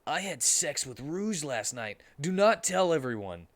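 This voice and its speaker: A man's voice: on the high side, big pitch swings, measured pace, voice pushed up. A man voicing a casual, blunt teenage male cartoon chameleon in an offhand way.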